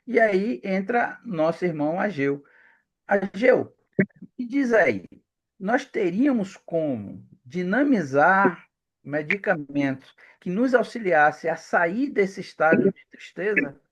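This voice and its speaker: A man leads a relaxed conversation, setting up the topic and turning questions over to his guest. His voice sits high for a man, and he pokes fun at himself. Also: slow. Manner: asks questions, self-mocking. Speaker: host. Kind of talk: casual chat.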